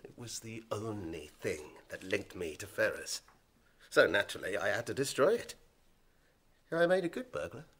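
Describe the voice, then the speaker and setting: An actor playing a smug, cold-blooded young constable turned killer, with a British accent, in a radio drama. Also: male voice, lowish voice, sing-song, normal speed, a bit bright, slightly rough, exaggerated voice. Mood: matter-of-fact.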